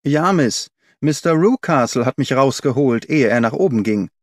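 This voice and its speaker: A man's voice: medium pitch, animated, moderate pace, no room sound. Older female servant, voiced by a man, chatty, deferential, loyal storybook character, very dry sound. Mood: regretful. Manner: colloquial, deferential, eager to explain. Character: talkative, loyal older woman servant confiding a secret.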